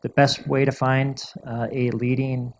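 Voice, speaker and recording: A male guest speaker answering audience questions in a measured, thoughtful way, often restarting and repeating words. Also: mid-range voice, little pitch movement, darkish tone, a little hiss or hum. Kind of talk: lecture Q&A answers.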